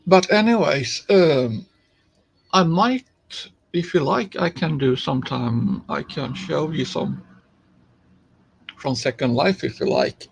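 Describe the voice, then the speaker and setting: A man's voice: fairly high pitch, slow pace, gravelly, long pauses, breathy edge, low-quality recording. A man from Finland with a Finnish accent, chatting casually on a live stream while he plays, rambling along with many fillers and restarts.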